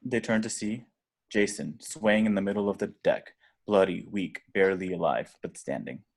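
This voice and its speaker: A man with a low, deep voice narrates action from a screenplay. He reads deliberately and dramatically, as the stage directions reader of a table read. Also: gravelly.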